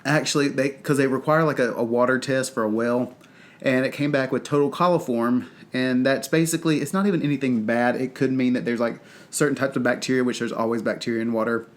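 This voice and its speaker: A man's voice: mid-range voice, steady tone, fast talker. A man with a Southern US accent, congested and stuffy-nosed, chatting casually as a podcast co-host in a rambling way. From North Carolina, US. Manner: rambling, chatty, lots of fillers. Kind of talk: casual chat.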